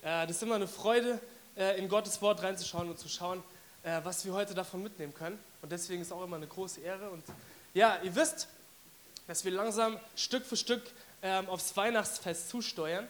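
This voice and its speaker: A man with a hoarse voice from a cold, giving a casual, chatty sermon to his congregation with many fillers. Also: high voice, moderate pace, a bit bright, a little hiss or hum. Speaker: preacher.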